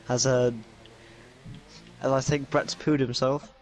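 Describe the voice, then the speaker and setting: A man's voice: mid-range voice, level tone, slow pace, soft background music, low-quality recording. A young man commentating an esports racing league in casual chat, bantering and laughing often, on a headset from a home studio.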